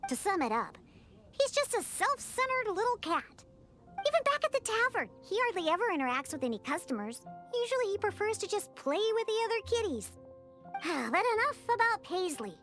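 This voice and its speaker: Very high-pitched, pouty little cat-girl. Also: very animated, a little fast, a bit bright, soft background music, full character voice. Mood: exasperated. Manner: pouty, emphatic, indignant. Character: feisty, proud little cat-girl bartender who hates alcohol. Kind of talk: animated video game character acting.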